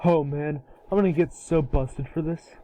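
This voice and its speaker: Man playing a cocky boy, higher-pitched. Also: moderate pace, mellow, lightly acted, rough recording. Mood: worried. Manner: boastful, casual kid slang. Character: cocky, excitable boy turned fire alien. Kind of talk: animated series table read.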